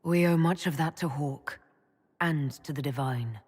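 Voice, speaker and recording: A woman's voice: sits low, measured pace, darkish tone, husky. An actress playing a resolute woman who leads a holy order, speaking in a composed, authoritative way in a game cutscene. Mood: sober, gentle. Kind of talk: dramatic game cutscene dialogue.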